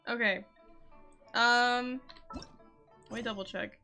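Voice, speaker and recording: A woman's voice: fairly high pitch, steady tone, takes it slowly, sharp tone, soft background music. A woman livestreamer chatting casually as she plays, rambling informally to herself and her viewers. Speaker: game livestreamer. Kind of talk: casual chat while playing.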